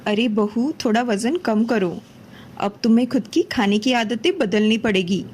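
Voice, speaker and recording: Woman as an affectionate, high-voiced middle-aged mother-in-law. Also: a little slow, darkish tone, slightly rough. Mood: concerned. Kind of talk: Hindi animated moral story dubbing.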